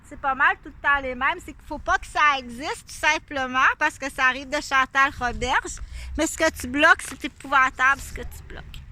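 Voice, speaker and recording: High bright Québécoise woman, defensive. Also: moderate pace. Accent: Québécois French. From Quebec, Canada. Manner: repetitive, defensive, accusatory, addresses an unnamed "you". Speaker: vlogger. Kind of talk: rambling direct-to-camera monologue.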